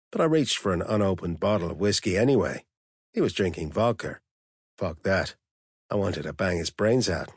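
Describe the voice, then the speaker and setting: A man's voice: deep voice, slightly rough, dry recording, studio quality. A man narrating a first-person novel in a blunt, profane, wry manner. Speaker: audiobook narrator.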